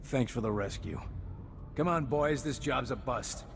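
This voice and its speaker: A gravelly, world-weary mercenary, mid-pitched with plenty of creak. He talks in blunt, clipped, sardonic game dialogue over clear ambient noise. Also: unhurried. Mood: bitter, resigned. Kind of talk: scripted video game dialogue.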